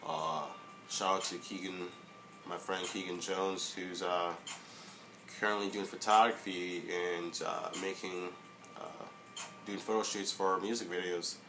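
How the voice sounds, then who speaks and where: Young male vlogger, low monotone voice, hesitant and filler-heavy, faint hiss behind. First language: English. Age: young adult. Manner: halting, many fillers and restarts. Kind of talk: casual motivational monologue.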